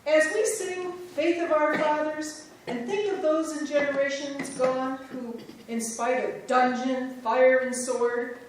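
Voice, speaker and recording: A woman reads aloud in a solemn, deliberate manner, her voice sitting high. She is picked up from far off in a reverberant church, with a faint background hum. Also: fairly even intonation. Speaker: reader. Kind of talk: formal reading of a historical letter. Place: church sanctuary. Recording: echoey church sanctuary, distant mic.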